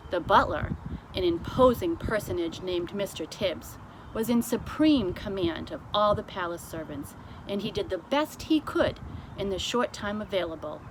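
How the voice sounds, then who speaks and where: A woman reading a children's story aloud as scripted narration, with deliberate, expressive phrasing. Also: mid-range voice. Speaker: storybook reader. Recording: clearly noisy amateur recording.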